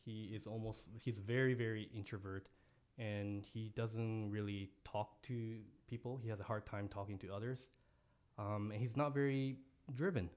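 Soft-spoken young man, earnest podcast guest. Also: on the low side, steady tone, moderate pace, clean tone. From Japan. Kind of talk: podcast interview. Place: office podcast studio. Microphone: studio mic on boom, headphones.

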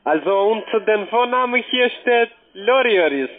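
A man who is not a native speaker, giving a polite, wordy, effusive phone sales pitch. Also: expressive, normal speed. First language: not German. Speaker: call centre sales agent. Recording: tinny narrow phone-line sound.

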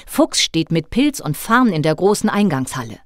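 Female storyteller for children's audio play, low voice, dry close sound. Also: sing-song, moderate pace, no echo. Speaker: narrator.

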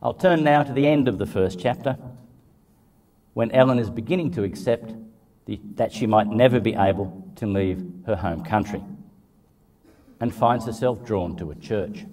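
Older man, low voice, soft mellow tone, scholarly prepared talk, slightly distant mic. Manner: measured, scholarly. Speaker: translator speaking about his work. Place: indoors against dark curtain.

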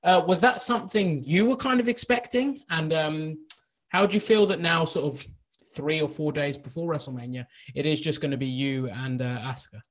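A man asks questions as a reporter. His voice is a bit higher than most men's, and he is polite and somewhat hesitant. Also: moderate pace. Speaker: wrestling journalist asking questions. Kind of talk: press-call questioning.

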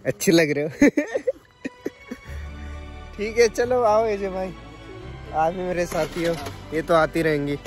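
A man's voice: sits high, slow, long pauses, soft background music. A young man chatting playfully to a phone camera he holds at arm's length outdoors on a beach at dawn. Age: young adult. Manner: lively, playful, teasing. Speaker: vlogger. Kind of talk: casual vlog chat.

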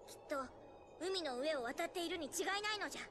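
A quirky young pirate girl gives orders in an old-fashioned way of talking. Her voice is high and fast. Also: lively intonation, music in the background. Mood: commanding. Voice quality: old-fashioned speech endings.

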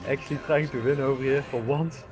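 A man's voice: mid-range voice, steady tone, fairly quick, over music. A young man chatting casually with friends while gaming, joking, laughing and swearing. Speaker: streamer playing with friends. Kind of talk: casual gaming chat on voice call.

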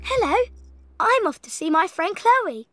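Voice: very high-pitched, big pitch swings, unhurried, over music, exaggerated voice. An actor voicing a cheerful, curious young cat in a children's cartoon, friendly and sing-song, talking directly to young viewers. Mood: cheerful, eager. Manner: bright, clearly paced, sing-song, asking the viewer.

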